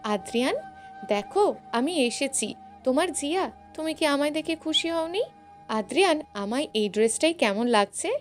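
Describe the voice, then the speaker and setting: A woman's voice: high-pitched, animated, moderate pace, soft background music. A woman voicing a clingy, coquettish young college woman with a coy, simpering manner in a story. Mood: coy, eager.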